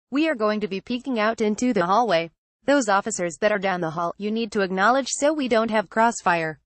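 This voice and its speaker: A woman's voice: mid-range voice, no echo. A woman reads scripted news aloud as a narrator, in a synthetic, literal manner. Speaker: news reader.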